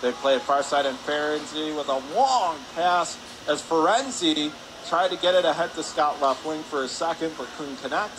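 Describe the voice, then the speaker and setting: A man doing excitable live radio play-by-play of a hockey game, shouting on big plays. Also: fairly high pitch, moderate pace. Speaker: play-by-play announcer. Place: indoor ice hockey arena. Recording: noisy arena broadcast with rink ambience. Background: loud ambient arena noise.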